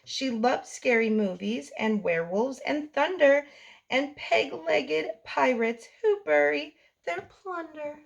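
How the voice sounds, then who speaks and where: A woman reading a picture book aloud to young children as a storytime host, in an expressive sing-song delivery. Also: high-pitched, slow pace, vocal fry, highs cut off.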